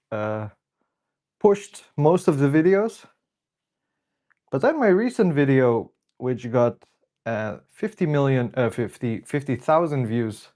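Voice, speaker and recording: A man's voice: mid-range voice, dark tone. A young man with the sniffles, hosting a casual livestream while he works and chatting to viewers as they come in. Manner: chatty, greets viewers by name. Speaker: livestream host. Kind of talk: casual live-stream chat while working.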